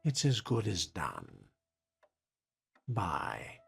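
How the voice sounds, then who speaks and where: Casting: man voicing cool vampire fledgling in a game; deep, creaky, deadpan, faint music underneath. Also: very slow, exaggerated voice. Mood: resigned, firm. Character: cool, dry young male vampire fledgling. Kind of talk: role-played video game dialogue.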